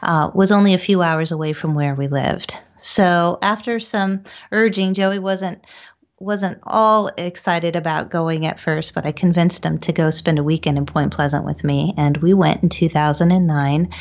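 A woman's voice: fairly low pitch, fairly even intonation, normal speed. A middle-aged woman recounting an experience in a conversational way as an interview guest. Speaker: guest, author and psychic medium. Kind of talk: conversational storytelling. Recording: dull, lo-fi sound.

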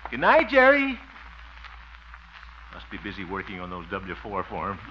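A dull, hissy old radio recording carries a flustered, well-meaning man who pities himself. His theatrical delivery swings widely in pitch, from muttering to exclamation, in 1950s sitcom style. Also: wildly swooping pitch, a little slow, bright, forceful tone, heavily acted. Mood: dejected muttering. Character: flustered, self-pitying, well-meaning small-town radio host. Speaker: lead actor in radio comedy.

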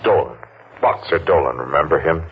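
An actor playing a taunting, vengeful masked man in deliberate, theatrical 1940s radio mystery style, on a muffled old recording. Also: very deep voice, a little hiss or hum. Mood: sly taunting. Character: masked vengeful man, menacing and mocking, taunting a guilty partner.

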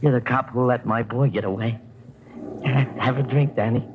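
A man's voice: mid-range voice, fairly even intonation, moderate pace, natural voice. An actor playing an older drunken father, boozy and fondly boastful, slurring in a vintage radio crime drama. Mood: mocking, boozy. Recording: noisy, muffled vintage radio recording.

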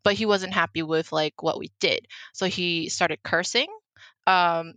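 A woman's voice: lowish voice, fairly even intonation, unhurried, pushed and bright, no room sound. A young woman whose first language is Mandarin, telling a story in casual chat, full of "like" fillers and acted-out quotes. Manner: chatty, lots of "like" fillers, acts out quotes.

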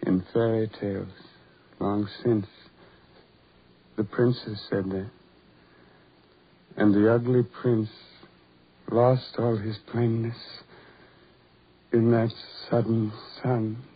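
Husky, despairing man, old radio drama. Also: on the low side, little pitch movement, takes it slowly, long pauses. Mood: wistful, poetic. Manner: theatrical, poetic delivery. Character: despairing, bitter, ugly man who shuns love. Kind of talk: 1940s radio horror drama acting. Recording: muffled old 1940s radio recording.

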